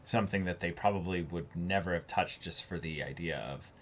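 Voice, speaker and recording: A man's voice: low voice, brisk. A man co-hosting a casual discussion show, musing aloud in broken, trailing phrases. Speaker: co-host of an anime reaction discussion show.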